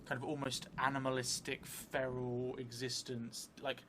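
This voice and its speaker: A middle-aged man improvising narration for a solo tabletop game, thinking aloud thoughtfully in halting, short phrases. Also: medium pitch, flat delivery, noisy background. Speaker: solo role-playing streamer. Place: indoor room set up for streaming, seated at a table. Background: music.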